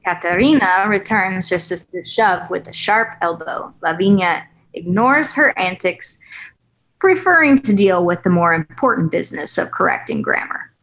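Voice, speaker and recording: A woman's voice: on the low side, sing-song, normal speed, fairly bright tone, rough recording. A woman reading stage directions as narrator at a screenplay table read, in composed, literary storytelling style.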